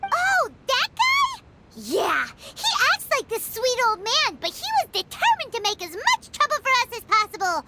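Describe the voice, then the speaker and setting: A woman voicing a tiny, high-voiced childlike game companion who talks bluntly and chattily, her pitch swooping widely. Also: wildly swooping pitch, normal speed, full character voice. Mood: scornful. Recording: loud hiss with clicks.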